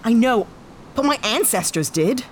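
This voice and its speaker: An actress playing a skeptical, questioning human spacefarer in a sci-fi audio drama, blunt and easily exasperated. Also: female voice, on the high side, animated, a little slow, slight background noise. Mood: incredulous. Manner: blunt, incredulous.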